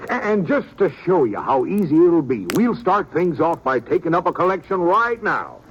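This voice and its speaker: An actor playing a fussy, wordy small-town preacher in an old radio drama, pompous and prone to stammering. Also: male voice, on the high side, big pitch swings, normal speed, lo-fi sound. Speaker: reverend. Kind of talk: 1950s radio drama acting. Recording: old radio recording with faint hiss.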